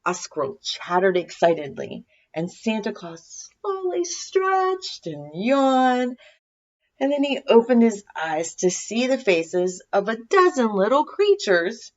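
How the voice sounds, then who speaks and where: A woman reading a children's story aloud in expressive scripted narration. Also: a little high, slow, highs cut off. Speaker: storybook reader.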